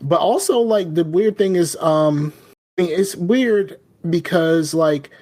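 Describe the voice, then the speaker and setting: A man's voice: fairly high pitch, slow, darkish tone. A man with a Southern US accent, a podcast co-host chatting casually with lots of fillers and restarts. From American South. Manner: rambling, many fillers and restarts. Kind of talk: casual chat.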